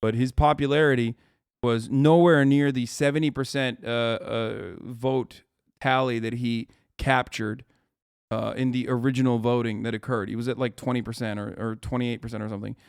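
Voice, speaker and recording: A man's voice: medium pitch, level tone, moderate pace, gravelly, clear, resonant tone, no echo, clean studio sound. A man streaming live, reacting to a news video with heated, profane rants and emphatic repetition.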